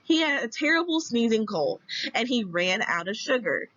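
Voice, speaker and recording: A woman narrates a storybook tale in a conversational, expressive style. Her voice is fairly high and very animated, captured dry with a slightly lo-fi dullness. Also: moderate pace, no room sound.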